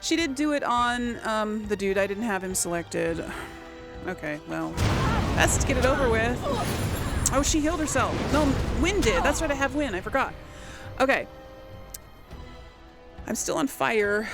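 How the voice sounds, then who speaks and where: A woman's voice: fairly high pitch, expressive, unhurried, creaky, pauses often. A woman doing casual let's play commentary, informally talking herself through each move as she plays. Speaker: gamer and let's play host. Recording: game music behind the voice. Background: video game music.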